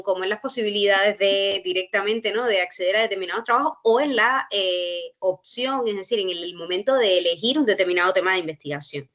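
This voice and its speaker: A researcher from Cuba speaks with a Cuban accent at a workshop panel. She reflects carefully and pauses often to find the right word. She sounds measured and thoughtful as she thinks aloud. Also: mid-range voice, fairly bright tone. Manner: thoughtful, searching for words with many pauses and fillers.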